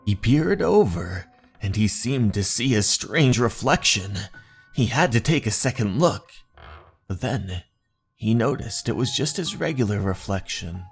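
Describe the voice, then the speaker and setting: A man narrates a horror tale with dramatic suspense, taking his time over each phrase. His voice is fairly low and gravelly, with lively swings in intonation. Music plays underneath. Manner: deliberate, suspenseful storytelling. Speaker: narrator of horror manga stories.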